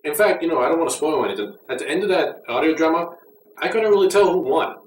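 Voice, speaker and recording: A man's voice: a little high, lively intonation, fast. A man giving a casual, conversational and mildly critical review as an audio drama reviewer. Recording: roomy echo, distant mic, faint noise.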